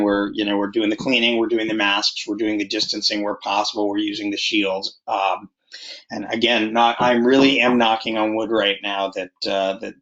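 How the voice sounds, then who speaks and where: A man's voice: on the low side, pushed and bright. A man giving an informal update as a school board member in an online meeting. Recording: muffled video meeting audio.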